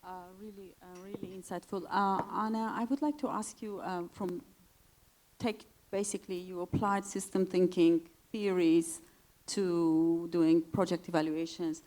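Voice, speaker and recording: A woman's voice: on the low side, steady tone, a little slow, mellow. A woman moderating a conference panel, friendly and a little hesitant, with many fillers.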